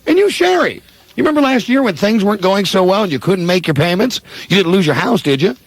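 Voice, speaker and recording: A man playing an earnest, flustered, kindly small-town lender in a comedic radio play, husky and pleading. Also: high voice, expressive, quick pace, no echo. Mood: pleading, urgent.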